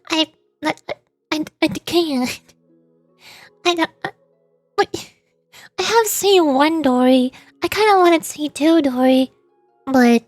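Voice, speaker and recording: A woman hosting a casual gaming livestream, chatting in an animated, playfully whiny way. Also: high voice, no room sound. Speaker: VTuber livestream host.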